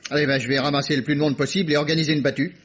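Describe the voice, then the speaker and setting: An actor playing a brisk, familiar lawman organising a manhunt, hurried and exclamatory in old radio mystery style. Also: fairly high pitch, steady tone, a bit bright, natural voice. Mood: brisk, impatient. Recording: muffled, noisy old radio drama recording.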